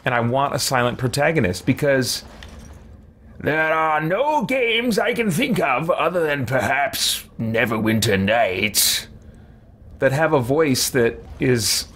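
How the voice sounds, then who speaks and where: A man's voice: medium pitch, takes it slowly. A man, giving casual, rambling live gameplay commentary indoors at home. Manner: chatty, rambling, animated fan talk. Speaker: game streamer. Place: small room at home, indoors. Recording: faint game sound behind voice. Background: video game ambience.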